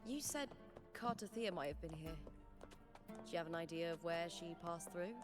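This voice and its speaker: A woman's voice: fairly low pitch, normal speed, natural voice. An actress voicing a proud, confident young female warrior in a video game, self-assured and matter-of-fact. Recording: game audio under loud music. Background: game music.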